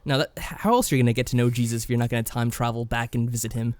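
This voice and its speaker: Male podcast co-host, wry and tongue-in-cheek, casual recap delivery, mid-pitched, very dry close recording. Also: steady tone, racing, no room sound. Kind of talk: casual discussion and game recap.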